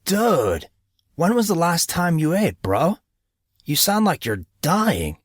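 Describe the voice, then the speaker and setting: Man as cocky teenage bro, rowdy, joking, slangy, higher-pitched, audiobook character. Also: very animated, slow, no echo, studio quality, heavily acted. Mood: teasing.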